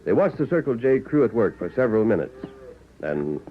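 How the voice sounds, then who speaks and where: A man's voice: lowish voice, big pitch swings, brisk. A man with an American accent narrating a 1940s radio Western in scripted style. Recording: muffled old radio recording.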